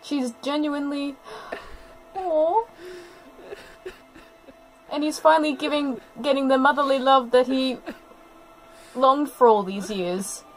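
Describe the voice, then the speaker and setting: A woman's voice: high-pitched, takes it slowly, pauses often, low-quality recording. A woman giving casual live reaction commentary on a cartoon, gushing and sentimental, with frequent small exclamations. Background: music from the cartoon being watched.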